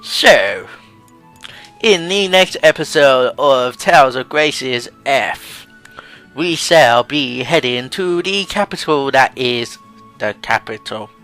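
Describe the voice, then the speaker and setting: A man's voice: medium pitch, expressive, takes it slowly, sharp tone, soft background music. A man giving casual, jokey, sweary commentary as a let's play host.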